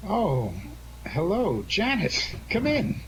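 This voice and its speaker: A man's voice: sits high, huge pitch swings, slow pace, a bit bright, exaggerated voice. A man playing a genial, gallant detective in a 1940s-style radio drama, with an affable, playful manner. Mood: affable, charmed. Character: genial, gallant male adventurer detective, easily charmed. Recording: faintly noisy radio drama recording.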